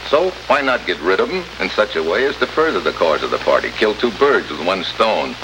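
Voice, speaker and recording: An actor playing a tough, wisecracking American special agent in an old radio drama, sardonic and slangy. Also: male voice, sits low, sing-song, brisk. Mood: needling, hard. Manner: sardonic, hard-boiled, slangy. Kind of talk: 1950s radio drama acting. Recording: noisy old radio recording, heavy hiss.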